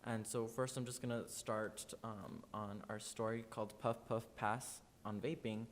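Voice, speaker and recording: A man's voice: steady tone, a little slow. Nineteen-year-old man hosting a podcast. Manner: friendly, loose, many fillers. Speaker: podcast host. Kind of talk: casual student podcast chat. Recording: long reverb, faint rumble.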